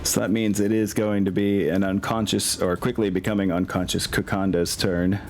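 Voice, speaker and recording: A man's voice: lowish voice, little pitch movement, normal speed, music in the background. A man speaking as a game master, casually narrating a tabletop game in informal, sweary, playful slang.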